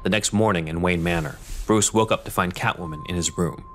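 Very deep male narrator. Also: a little fast, a little hiss or hum. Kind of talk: scripted dramatic narration.

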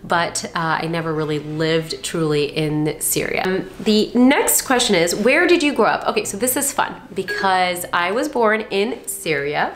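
A woman's voice: lowish voice, expressive, a little slow, hardly any pauses, slight background noise. A young adult woman, chatting casually and informally to viewers as a friendly vlog host. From Dubai and Northern California. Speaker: vlog host, surgery resident. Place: indoor room by a window.

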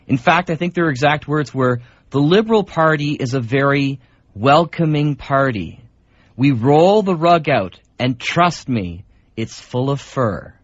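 A man's voice: mid-range voice, animated, measured pace, no room sound. A man hosting a radio show with casual, rambling banter in a chatty, conversational way. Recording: dull lo-fi radio recording.

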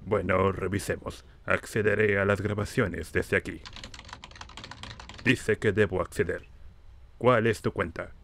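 A man acts out an evil old Sith lord for a parody fan dub. He is low and forceful, scolding in theatrical disbelief like a master fed up with his pupil. There is clear noise behind the voice. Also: steady tone, unhurried, pauses often. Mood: impatient.

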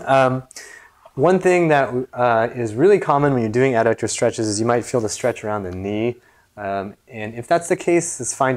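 An American man in his thirties, a movement coach giving a casual, jokey exercise demonstration in a small gym. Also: fairly low pitch. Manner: jokey, informal explanatory. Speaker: movement coach and video host.

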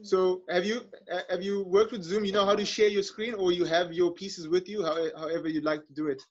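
A man's voice: high-pitched, steady tone, a little fast. A young man hosting an online open mic over a video call, talking casually with many restarts and fillers. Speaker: host. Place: indoor room. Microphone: laptop webcam on video call. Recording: dull lo-fi video call audio.

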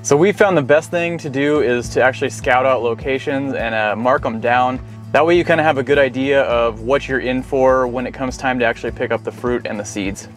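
American guy in his 30s doing a casual explainer vlog, normal pitch, some music behind. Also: brisk. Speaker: foraging vlogger. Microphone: clip-on wireless lapel mic.

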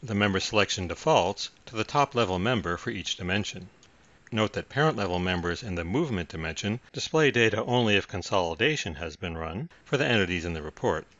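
A man reading scripted software tutorial narration in a measured, instructional manner. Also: sits low, dry recording, muffled recording.

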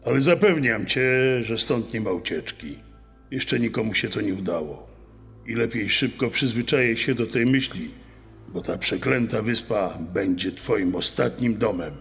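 An actor playing a stern, menacing prison warden with a rough, husky voice in a dramatized podcast. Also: male voice, on the low side, expressive, moderate pace, faint music behind, full character voice. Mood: cold, threatening.

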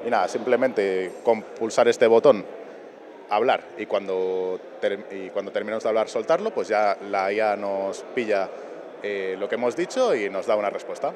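Hesitant young man, low voice. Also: moderate pace. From Spain. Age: young adult. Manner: hesitant, with fillers and a nervous laugh. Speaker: engineer. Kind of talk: on-the-spot interview. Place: conference venue lobby. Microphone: reporter's handheld mic. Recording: crowd chatter in a busy lobby.

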